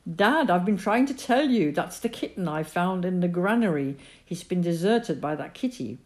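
Woman reader voicing an eager farm lad of fourteen, pleading, lively pitch. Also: moderate pace, close to an everyday voice. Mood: earnest. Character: earnest fourteen-year-old farm boy, pleading.

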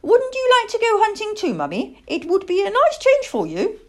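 A woman's voice: extremely high pitch, wildly swooping pitch, normal speed, pitched above the performer's own voice, heavily acted. A woman voicing an eager, naive little male owl chick with a chirpy, childlike, inquisitive way of talking. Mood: eager, cheeky.

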